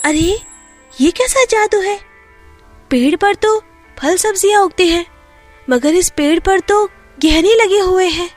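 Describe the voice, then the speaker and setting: A woman's voice: very high-pitched, slow, long pauses, faint music behind. A woman playing a sorrowful, protective orphaned elder sister in a storybook tale. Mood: amazed.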